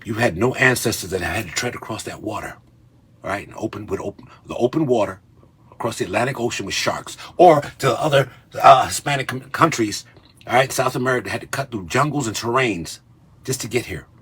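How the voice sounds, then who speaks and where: A man's voice: mid-range voice, animated, a little fast, fairly bright tone, breathy edge. A man in his late fifties, Cuban-born with a Spanish accent, lecturing in a confrontational video rant and tagging lines with "okay?". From Cuba. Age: 50s. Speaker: commentator in a clip.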